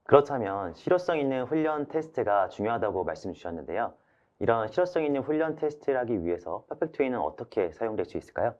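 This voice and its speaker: Quick-talking man hosting a webinar. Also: medium pitch, sing-song, a little mellow. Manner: polite, summarizing. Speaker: webinar host. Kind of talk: moderating with questions. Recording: dull band-limited webinar audio.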